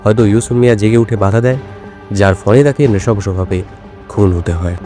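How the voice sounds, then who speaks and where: Mellow, self-assured detective, played by a man. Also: lowish voice, soft background music, lightly acted. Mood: measured, deductive. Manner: measured, deliberate, explanatory. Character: shrewd, self-assured male detective explaining his deductions. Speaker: actor playing a detective. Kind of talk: Bengali audio drama dialogue.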